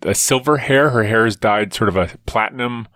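A man's voice: lowish voice, moderate pace, a bit bright, dry recording. A man, a scientist guest on a podcast, chatting casually with dry wit and rambling stories over a remote call. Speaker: guest, evolutionary biologist. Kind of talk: casual chat.

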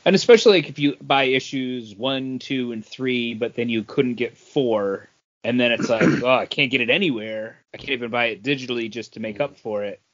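A man with a mid-pitched voice talks casually as a podcast co-host. His delivery is conversational and informal. The recording is slightly dull and lo-fi. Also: brisk. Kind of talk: casual comics podcast chat.

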